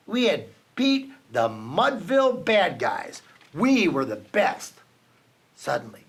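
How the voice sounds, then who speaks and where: A man reads a children's storybook aloud at an unhurried pace, his fairly high voice swinging widely as he builds suspense. He leans into dramatic pauses like a storyteller for young listeners. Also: gravelly, fairly bright tone. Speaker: children's book reader for a team reading program. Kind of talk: reading a children's picture book aloud.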